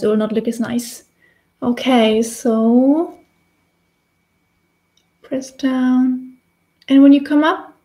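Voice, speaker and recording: A woman's voice: on the high side, mellow. A woman in her thirties with a German accent, casually teaching face painting on a live stream in a small room, chatty and laughing often. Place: small indoor room with decorated wall.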